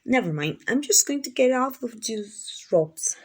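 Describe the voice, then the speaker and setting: A woman's voice: fairly high pitch, animated, unhurried, slightly rough. A woman playing an obsessive, doting yandere kidnapper in an ASMR roleplay, gushing and coaxing with affection. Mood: sheepish, eager. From Mexico.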